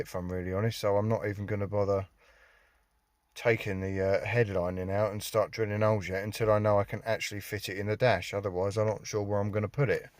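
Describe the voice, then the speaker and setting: Low-voiced British man, rambling and wry. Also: no room sound. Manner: rambling, wry, self-mocking grumbling. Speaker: hobbyist filming a car radio install. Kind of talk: casual DIY vlog chat. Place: inside a car.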